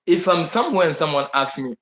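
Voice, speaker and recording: A man's voice: a little high, animated, quick pace, fairly bright tone, roomy sound. A man with a Ghanaian accent chatting casually to his viewers, answering their questions in a rambling way with many restarts, fillers and emphatic repeats. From Bahamas, raised partly in Ghana. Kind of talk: casual live chat to viewers. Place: indoors in Brazil.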